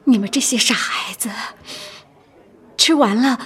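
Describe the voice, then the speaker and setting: A woman playing a gentle, thrifty young mother in a radio drama, polite and tender, with a breathy voice. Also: a little high, unhurried. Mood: tender, coaxing.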